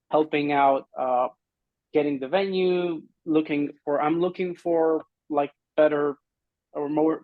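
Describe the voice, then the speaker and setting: A man's voice: fairly high pitch, dry recording. A middle-aged man speaks informally on a video call. He feels his way through an update, hedging and rephrasing as he goes. The sound is thin and phone-like, with very little room in it. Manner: halting, hedging, loosely phrased. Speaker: grant-funded working group administrator. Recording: muffled video-call audio.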